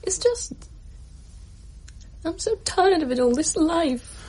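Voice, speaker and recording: An actress playing a fragile, despairing young woman in a radio drama, breathy and weary. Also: very high voice, animated, takes it slowly, heavy background noise, exaggerated voice.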